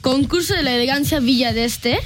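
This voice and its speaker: High-voiced teenage girl. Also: brisk, faint music behind. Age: teens. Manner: earnest, explaining. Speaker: guest presenting cars.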